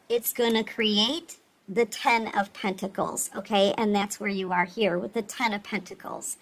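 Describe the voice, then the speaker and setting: A woman giving a casual, reassuring tarot reading, speaking straight to the listener and often checking in. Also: fairly high pitch. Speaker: tarot reader, host.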